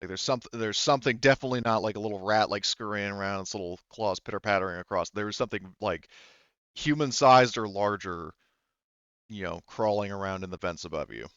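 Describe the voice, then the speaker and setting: A man with a low, smooth voice acts as game master of a tabletop roleplaying game. He explains in a halting, self-correcting way. The recording is dry and slightly dull. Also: a bit bright, dry recording.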